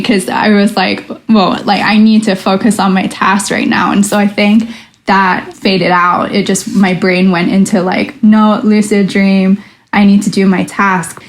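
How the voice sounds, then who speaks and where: Chatty woman telling a dream story. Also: medium pitch. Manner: chatty storytelling, acts out her own past thoughts in quotes. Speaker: lucid dream researcher and coach, guest. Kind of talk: casual podcast conversation.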